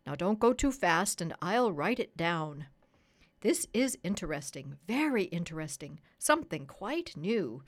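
A woman voicing a kindly, curious male doctor in a children's story, gentle, polite and earnest. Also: fairly low pitch, sing-song, a little slow. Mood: excited, curious.

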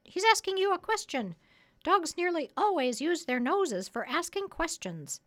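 A woman voicing a proud, bossy, clever female parrot in a high, squawky voice for a children's story. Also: very animated, measured pace, a bit bright, heavily acted. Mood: instructive. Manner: emphatic, instructive, sure of herself.

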